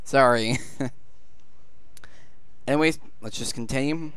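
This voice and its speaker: Slow-talking, casual gamer. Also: mid-range voice, fairly bright tone, clean tone, no room sound. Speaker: gamer commenting on own playthrough. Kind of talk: casual gameplay commentary.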